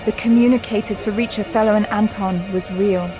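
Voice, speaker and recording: Female narrator, average pitch, dramatic scripted storytelling, light music underneath. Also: fairly even intonation, brisk, rough recording.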